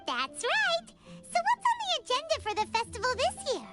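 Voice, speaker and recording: A woman's voice: extremely high pitch, huge pitch swings, normal speed, exaggerated voice. A woman voicing a small, cheerful, curious childlike fairy companion in a video game, chirpy and eager. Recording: game music behind the voice.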